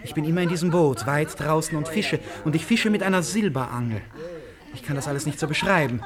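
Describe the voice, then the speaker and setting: A rather high-voiced gentleman played by a male actor in a radio play, eccentric and dreamy. He speaks with rapt, poetic courtesy, over a murmur of company. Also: brisk, a crowd in the background, lightly acted. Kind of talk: German radio play acting.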